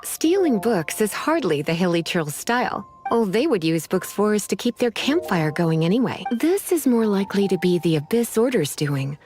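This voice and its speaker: A woman's voice: mid-range voice, big pitch swings, normal speed, hardly any pauses, a little mellow, close to an everyday voice. A woman playing a teasing, self-assured sorceress librarian in a video game, coy and formally worded. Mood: explanatory. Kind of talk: video game cutscene acting. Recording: music under the voice.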